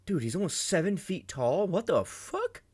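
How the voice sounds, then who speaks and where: A man hosting a casual reaction video, talking excitedly, swearing often and thinking aloud with many restarts. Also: sits high, moderate pace, slightly rough.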